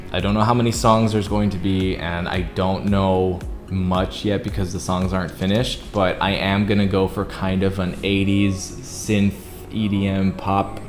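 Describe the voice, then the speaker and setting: A young man with a very deep baritone voice, chatting earnestly to his viewers in a casual vlog, full of "like" fillers. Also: reverberant. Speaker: YouTuber and singer.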